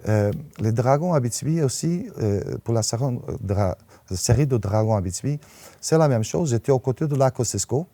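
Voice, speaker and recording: A man's voice: mid-range voice, lively intonation, moderate pace, dark tone, no echo. A man in his sixties with a Portuguese accent, a native Portuguese speaker, speaking conversationally as an interviewed writer and often repeating words as he searches for a phrase. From northern Portugal. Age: 60s. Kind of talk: conversational interview, personal anecdotes.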